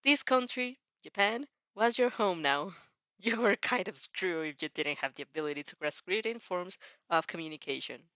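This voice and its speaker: A woman's voice: medium pitch, sharp tone, no echo, muffled recording. A woman reading fan fiction as a storyteller with casual reactions, giggly and often stumbling over and rereading phrases.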